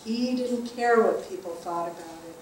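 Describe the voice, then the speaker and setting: A woman giving an earnest spiritual talk as a teacher, measured and slowing down to stress key phrases. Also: medium pitch, a little mellow, a little hiss or hum. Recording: echoey room from a distant mic.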